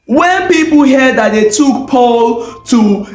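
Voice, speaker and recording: A man with a West African accent telling a story in an animated, informal way, full of exclamations, repeated phrases and rhetorical questions. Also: very high voice, takes it slowly. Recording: roomy echo, faint music bed.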